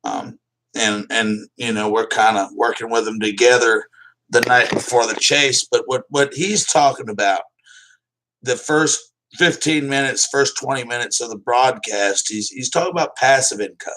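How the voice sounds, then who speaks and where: A man's voice: medium pitch, moderate pace, sharp tone, recorded from a distance, poor audio. A man hosting a live stream, chatting casually and rambling loosely, swearing now and then. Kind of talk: casual livestream chat.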